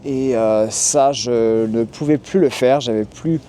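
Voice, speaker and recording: Homme français calme, voix chaude. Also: male voice, mid-range voice, takes it slowly, slight background noise. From France. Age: 40s. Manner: calm, explanatory, frequent hesitations. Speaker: YouTuber and tour guide. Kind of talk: casual to-camera vlog. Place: city street outdoors.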